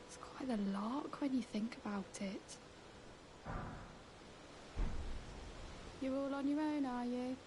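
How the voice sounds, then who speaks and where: An actress playing a timid, mournful young woman in a video game, with a halting, wistful, hushed delivery. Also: high-pitched, background noise, lightly acted. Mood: wistful, curious. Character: timid, mournful young woman, self-confessed craven, darkly whimsical. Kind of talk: video game character dialogue.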